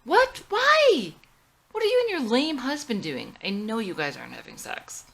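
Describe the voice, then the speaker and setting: A woman playing a fun-loving, free-spirited young actress, brash and excitable, in a script reading. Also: on the high side, moderate pace, gravelly, pitched above the performer's own voice, heavily acted. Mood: pushy.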